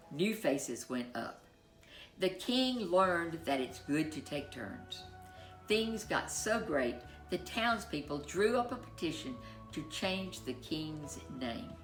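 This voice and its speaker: A woman storyteller reading a children's tale in a playful, expressive way with drawn-out emphasis. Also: deep voice, reverberant. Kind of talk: animated scripted children's story narration.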